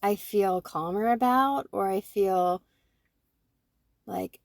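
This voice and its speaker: A woman in her forties, co-hosting a podcast, talking through her own thoughts in an animated way and often checking in with "right?". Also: medium pitch, slow pace, dry recording, studio quality. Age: 40s. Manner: animated, self-reflective, fond of tag questions like "right?". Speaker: podcast co-host. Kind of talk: casual conversation.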